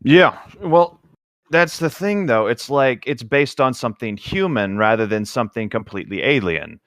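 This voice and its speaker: A man's voice: medium pitch, lively intonation, normal speed, fairly bright tone, no echo, clean studio sound. A man co-hosting a read-along, talking casually in a loose, rambling way and reading passages of a novel aloud. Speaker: co-host of a book read-along show.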